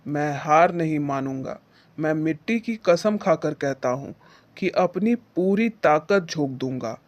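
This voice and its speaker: A man's voice: fairly high pitch, expressive, a little slow. A man voicing a humble, earnest poor farmer in a moral-story cartoon, speaking respectfully and with feeling. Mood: earnest, determined. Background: background music.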